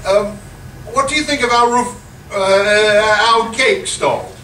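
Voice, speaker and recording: A man's voice: sits high, slow, pushed and bright, heavily acted. A man playing an earnest, obsequious church committee member in an amateur radio comedy, formal and faltering. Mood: eager, earnest. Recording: noisy, echoey live room, distant mic.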